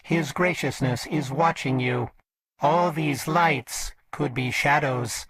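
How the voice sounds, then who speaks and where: An actor playing a courteous robot servant of an exiled emperor in a video game, polite, formal and servile. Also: male voice, a little high, takes it slowly, background noise. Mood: polite, ominous. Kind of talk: scripted game character lines.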